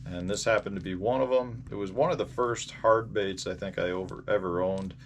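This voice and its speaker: A man with an American accent and a fairly low voice talks casually to his viewers as a vlog host. He rambles a little and pauses as he goes, unscripted. Clear ambient noise sits behind his voice. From Indiana, United States. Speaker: host of a fishing channel. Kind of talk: casual vlog storytelling about fishing gear.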